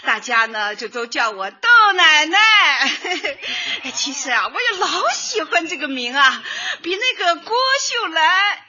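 Radio comedy granny from Shanghai, late sixties: very high, lively pitch, effusive, chatty, exclaiming. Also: female voice, measured pace, hardly any pauses, lo-fi sound, exaggerated voice. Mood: bubbly, bashful. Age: 60s. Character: cheerful chatty 68-year-old Shanghai grandmother, retired culture worker. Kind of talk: comic radio drama acting.

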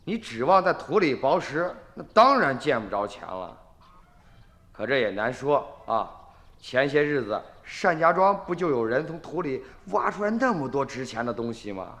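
Sly fake junk collector. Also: male voice, medium pitch, very animated, normal speed, fairly bright tone, in a large hall. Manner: wheedling, probing small talk. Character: sly male grave robber posing as junk collector. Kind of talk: radio drama acting.

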